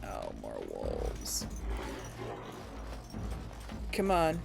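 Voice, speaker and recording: A woman's voice: a bass voice, wildly swooping pitch, very slow, vocal fry, loud music behind the voice. A young adult woman giving casual commentary on a game she plays, reacting aloud with laughs and wry remarks. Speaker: let's play host.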